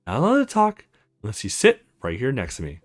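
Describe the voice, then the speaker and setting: A man's voice: mid-range voice, huge pitch swings, fairly quick, faint music behind. A man voicing an earnest, protective young male hero in a visual novel game. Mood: gently teasing.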